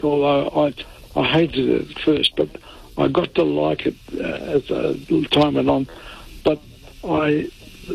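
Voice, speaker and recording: Older man from Italy, interview guest. Slow and gravelly, a touch husky, halting with many restarts. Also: a little high, low-quality recording. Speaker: interview guest, defence lawyer. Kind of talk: reflective interview answers.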